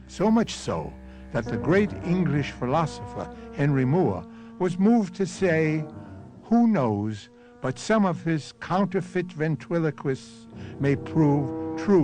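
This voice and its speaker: An actor playing a grave, philosophical host introducing a radio mystery in a theatrical, portentous manner. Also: male voice, mid-range voice. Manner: portentous, dramatic. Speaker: host narrator. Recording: radio broadcast with music behind.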